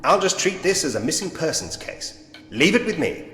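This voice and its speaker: An actor playing a sceptical, dryly witty Edwardian gentleman investigator in a point-and-click mystery game. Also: fairly high pitch, sing-song, normal speed. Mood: reassuring, sceptical. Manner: wry, muttering to himself, old-fashioned gentlemanly phrasing. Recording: echoey hall reverb, music underneath.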